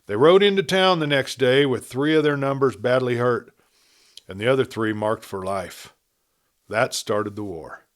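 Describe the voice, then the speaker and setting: A man with a medium-pitched voice narrates a western tale like an audiobook storyteller. His delivery is measured and dramatic. He swells on emphatic phrases and lets the ends of sentences trail away.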